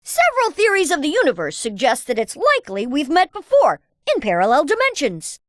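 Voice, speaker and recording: A high, animated boy genius for a cartoon video game. He is earnest and confident, with a precocious, scientific way of talking and pitch that swings freely. The sound is close and dry. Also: fairly quick, dry recording. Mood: urgent, determined. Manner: precocious, wordy scientific phrasing. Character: brainy, upbeat boy inventor, earnest and confident.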